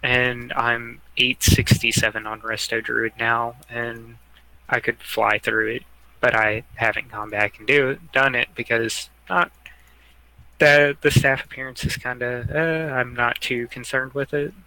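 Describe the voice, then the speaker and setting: A man's voice: mid-range voice, flat delivery, measured pace, creaky, sharp tone, low-quality recording. A man chatting casually as a co-host on a gaming podcast.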